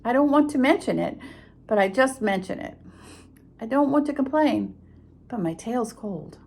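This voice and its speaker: A woman voicing a gloomy old male donkey who grumbles and complains slowly in a storybook read-aloud. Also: on the high side, lively intonation, creaky, a little mellow, husky, heavily acted.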